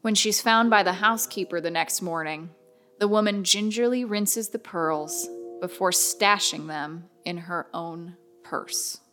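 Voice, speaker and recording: A woman narrating a horror radio drama in a deliberate, ominous, dramatic storytelling manner. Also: fairly low pitch, fairly bright tone, faint music behind.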